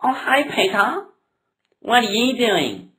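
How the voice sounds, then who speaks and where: A woman's voice: fairly high pitch, sing-song, takes it slowly, a bit bright, voice pushed up, exaggerated voice, rough recording. A woman voicing a thin, nosy, friendly godson with a nasal voice in a comic puppet show. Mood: chirpy, curious.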